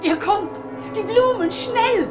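Casting: excitable gossiping townswoman for radio play, high lively voice over loud bells and music. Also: slow pace, full character voice. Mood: excited. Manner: eager, exclamatory. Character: excited townswoman in a festive crowd, gossipy and eager. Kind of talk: German radio drama acting. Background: loud music and church bells.

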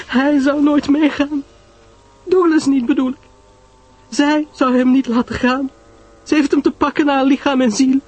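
A man's voice: very high-pitched, a little mellow, voice pushed up. A man voicing a distraught, tearful small woman in a story, stammering and pleading breathlessly.

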